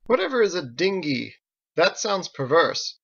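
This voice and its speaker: A man's voice: fairly high pitch, very animated, a little slow, creaky, a bit bright, husky, highs cut off, pitched above the performer's own voice, full character voice. A man voicing a proud, naive knight in an audiobook, formal and prim in his speech. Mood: prim disbelief. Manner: formal, prim, indignant, strutting. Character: proud, naive armored knight, an earnest male outsider. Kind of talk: audiobook character acting.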